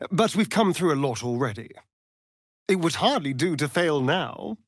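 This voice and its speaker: Breathy, theatrical vampire rogue. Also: male voice, on the high side, sing-song, a little slow, dry recording, clean studio sound. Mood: arch, teasing. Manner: theatrical, arch, drawling delivery. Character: flamboyant, sardonic male vampire rogue, vain and theatrical. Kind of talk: video game voice acting.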